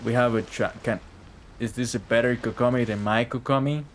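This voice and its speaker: A man's voice: medium pitch, steady tone, fairly quick, slight background noise. A man giving casual live-stream game commentary in slangy, chatty language with plenty of swearing. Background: game music.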